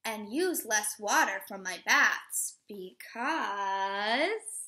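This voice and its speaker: Bright, lively woman reading to children. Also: mid-range voice, very slow. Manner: expressive, instructive, addressing young children. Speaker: teacher. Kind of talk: children's picture book read-aloud. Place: living room at home, indoors.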